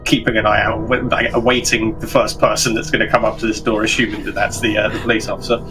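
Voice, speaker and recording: A man's voice: on the low side, little pitch movement, fast talker, noisy background. A man playing a tabletop roleplaying game, casually describing what his character does. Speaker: player. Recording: music behind the voice.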